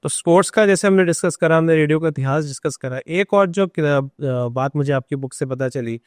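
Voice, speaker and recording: This Indian-accented man hosts a podcast in a fairly high voice with a close, dry sound. He is conversational and agreeable, echoing what he hears with short affirmations and dropping English words into his speech. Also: brisk, darkish tone. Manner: agreeable, often echoes the guest with short affirmations; mixes Hindi with English words. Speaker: podcast host, interviewer. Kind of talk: conversational interviewing.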